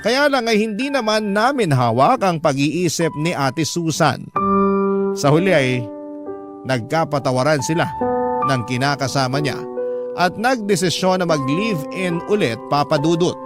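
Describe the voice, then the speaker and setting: Narrator brief: Filipino man, slightly high pitch, emotive scripted love-story delivery over strong music. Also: animated, a little slow. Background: music bed.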